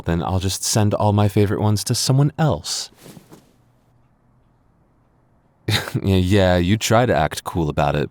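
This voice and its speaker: A man's voice: low voice, a little mellow, no echo, close to an everyday voice. A man playing a flustered young man with a secret crush in an intimate boyfriend ASMR roleplay, stammering and getting defensive. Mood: playful. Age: young adult.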